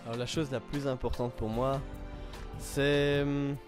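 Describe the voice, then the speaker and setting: Casting: man, late twenties, casual interview guest, halting delivery, music behind. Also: mid-range voice, flat delivery. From Belgium. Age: 20s. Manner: hesitant, many fillers and restarts, chuckles. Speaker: interview guest, French tutor.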